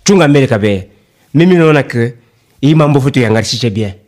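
An actor playing an animated, talkative man who keeps urging his friend, in a radio play with a Congolese accent. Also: medium pitch, fast, natural voice. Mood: cautioning. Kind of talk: radio play dialogue in colloquial register.

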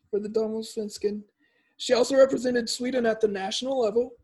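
A man's voice: extremely high pitch, darkish tone, slightly rough, rough recording. A man hosting a soccer podcast, introducing his guest and asking questions in a friendly, self-deprecating way. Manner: upbeat, self-deprecating about mispronouncing. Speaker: podcast host.

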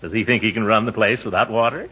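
Pompous, husky, blustering radio-comedy man. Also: on the low side, fast, pushed and bright. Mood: self-important, grumbling. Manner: blustering, chuckling, hesitant interjections. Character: pompous, excitable, self-important small-town water commissioner. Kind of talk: 1940s radio comedy acting. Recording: muffled, band-limited 1940s radio recording.

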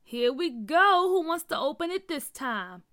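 A woman voicing an excitable, dramatic young boy in a children's story, with slangy, informal delivery. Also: high voice, a little slow, voice pushed up, heavily acted. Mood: curious, eager.

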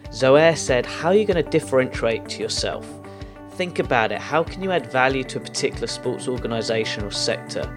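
Guy hosting a podcast, mid-pitched voice, earnest motivational talk, music behind him. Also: animated, fairly quick. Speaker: podcast host. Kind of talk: podcast hosting and outro monologue to listeners.

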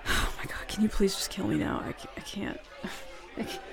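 A woman playing a flustered, smitten, jealous roommate in an intimate roleplay audio, speaking haltingly and nervously. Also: medium pitch, wildly swooping pitch, over music, full character voice. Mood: desperate, hopeful. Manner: halting, nervous, stammering with breathy laughs. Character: flustered, smitten, jealous bad-girl roommate confessing a crush. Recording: bar crowd murmur behind voice.

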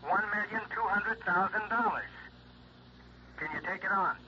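An actor playing a worried insurance agent calling on the telephone in an old radio drama. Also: male voice, high voice, moderate pace, lightly acted. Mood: anxious. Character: worried middle-aged male insurance agent. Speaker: insurance man on the phone. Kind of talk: 1960s radio drama acting. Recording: dull old radio recording with hiss.

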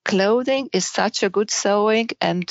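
Female podcast guest, Romanian accent, halting conversational delivery, dry but somewhat lo-fi sound. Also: medium pitch, dry recording. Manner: halting, searching for words in non-native English. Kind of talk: casual interview chat.

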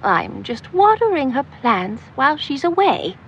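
A woman's voice: high-pitched, big pitch swings, unhurried, lo-fi sound, slight background noise, heavily acted. A woman playing a sweet, dithery older neighbour lady with a genteel, quaintly formal way of talking in an audio drama. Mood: sweet, airy.

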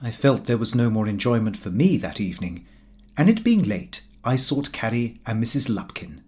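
A man narrating a comic diary novel as an audiobook, in a formal, measured and precise storytelling manner. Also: medium pitch, big pitch swings, darkish tone.

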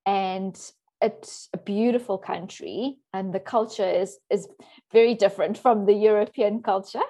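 A woman's voice: mid-range voice, measured pace. Chatty woman. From South Africa. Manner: warm, chatty, laughs often. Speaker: guest, life coach. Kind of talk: casual interview talk.

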